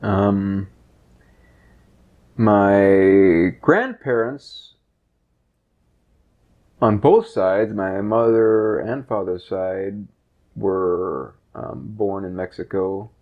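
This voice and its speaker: A man in his thirties speaks at an unhurried, slow pace in a reflective manner, often rephrasing as he goes. His voice sits a little low, and the recording sounds muffled and lo-fi. He comes across as someone thinking aloud in an unscripted discussion. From Canada and Texas. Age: 30s. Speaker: project co-creator and interviewer. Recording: dull, lo-fi sound.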